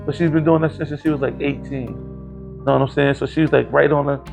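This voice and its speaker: Gravelly rambling man in his forties. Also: fairly high pitch, steady tone, fairly quick, darkish tone, soft background music. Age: 40s. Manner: rambling, profane, storytelling. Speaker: podcast host. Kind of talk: casual podcast chat.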